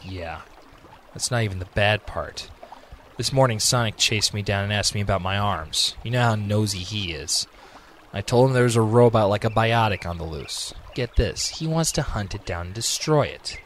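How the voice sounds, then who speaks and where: A man's voice: fairly low pitch, lively intonation, normal speed, lightly acted. Casting: male actor as earnest echidna guardian, protective and worried, amateur radio drama, slight background noise. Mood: troubled.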